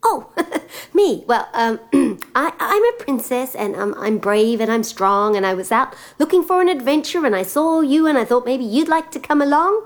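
A woman's voice: high-pitched, animated, moderate pace, voice pushed up. Woman playing a brave young princess. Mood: bashful. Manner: hesitant, with fillers.

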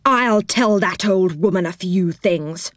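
A woman's voice: lowish voice, takes it slowly, a bit bright, dry recording, studio quality. A woman voicing a hot-tempered, round-faced moon man in a storybook, exclaiming indignantly. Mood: angry.

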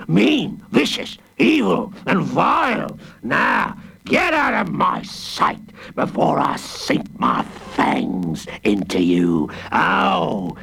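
A man's voice: gravelly, a bit bright, breathy edge, full character voice. A man voicing a blustering fanged villain who was once a wrestler and now runs a prison, with growls and stammers. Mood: roaring. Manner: growling, stammering with ums, bursts of booming laughter. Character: blustering hooded wrestler villain warden with fangs.

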